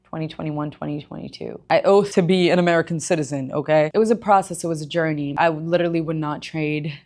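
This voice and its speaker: Low-voiced young woman telling her story. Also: quick pace, darkish tone. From Egypt. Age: young adult. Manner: candid, conversational, with emphatic asides. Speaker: gym owner and personal trainer. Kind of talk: personal storytelling vlog.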